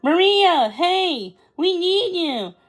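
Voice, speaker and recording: A woman's voice: very high voice, very animated, very slow, pitched above the performer's own voice, full character voice. A woman voicing Lizzie's part in a children's storybook, an eager, bossy young girl. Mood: calling out urgently.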